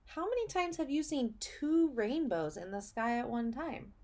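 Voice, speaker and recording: A woman teacher reading a story aloud for children, explaining as she goes and pausing to put questions to the listener, with emphasis on key phrases. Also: high-pitched, sing-song, a little mellow. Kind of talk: read-aloud lesson for children, asking questions.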